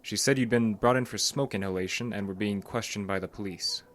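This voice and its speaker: An actor playing a concerned psychologist in an audio drama, a man who is earnest, measured and gently pressing. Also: lowish voice, a little hiss or hum, lightly acted. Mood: concerned, cautioning. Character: concerned, probing male psychologist, earnest and cautioning.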